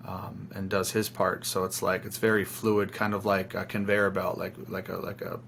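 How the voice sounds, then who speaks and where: A man's voice: low voice, flat delivery, normal speed, gravelly, a bit bright, slight background noise. A man chatting casually as an interviewed musician, rambling informally and piling up phrases. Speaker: band singer-songwriter being interviewed.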